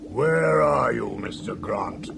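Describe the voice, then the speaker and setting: A heavily creaking, imperious male starship captain in a radio play. He draws out his orders with pompous menace and wallows in self-pity. There is audible noise on the recording. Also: mid-range voice, expressive, slow pace, heavily acted. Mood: imperious, irritated. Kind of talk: 1980s radio science-fiction acting. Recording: noisy 1980s radio drama recording.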